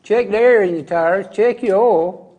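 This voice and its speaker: A high-voiced man with a Southern American accent hosts a live shopping show. He chats in a folksy, unhurried sales style, wandering a little as he goes. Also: warm tone. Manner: folksy, casual, rambling. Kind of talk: chatty live sales pitch.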